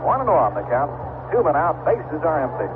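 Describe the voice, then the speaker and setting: Noisy vintage radio baseball announcer, higher-pitched man. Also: very animated, brisk, phone-quality sound. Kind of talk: live radio sports commentary. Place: outdoor ballpark broadcast.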